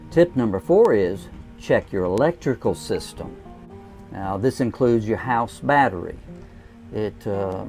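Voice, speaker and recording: A man's voice: medium pitch, a little mellow. An older man, an RV travel vlogger, giving plain, folksy how-to tips to camera from inside a camping trailer on a lapel mic. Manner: plain, folksy, unhurried with frequent "uh" fillers. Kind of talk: casual how-to talk to camera. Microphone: clip-on lapel mic.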